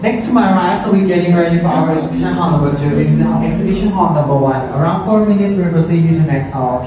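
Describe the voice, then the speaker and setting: A man makes quick, courteous announcements to a crowd of visitors. His fairly high voice comes from across a big, echoing hall. It sounds far from the mic. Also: background noise. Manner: polite, repetitive announcements. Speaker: pavilion guide. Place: indoor exhibition hall, heard from a distance.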